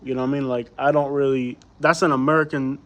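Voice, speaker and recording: A man's voice: medium pitch, little pitch movement, fast talker, a bit bright. A man from Cuba, a native Spanish speaker, hosting a livestream with casual, conversational chat full of rhetorical questions. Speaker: livestream host. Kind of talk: casual chat, answering live viewer comments.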